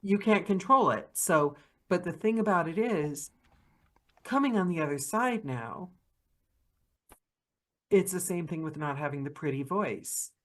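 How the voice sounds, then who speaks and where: A woman, a narrator hosting an interview, speaking in an emphatic, earnest way. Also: low-pitched. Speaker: podcast host and narrator. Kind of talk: casual interview chat.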